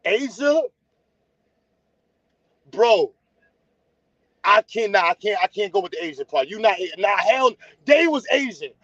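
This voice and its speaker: A blunt, teasing man talks straight to his viewers on a casual livestream. His voice sits high and carries no room echo, picked up dry and close. The sound is dull and lo-fi. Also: expressive, unhurried, pauses often, a bit bright. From United States. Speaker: livestream host.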